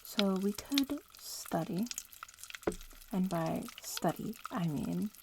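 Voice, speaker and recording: A woman playing a young bratty witch in training in an ASMR roleplay, murmuring casually and without hurry, slipping between soft speech and whispers. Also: mid-range voice, expressive, slow, vocal fry, noisy background.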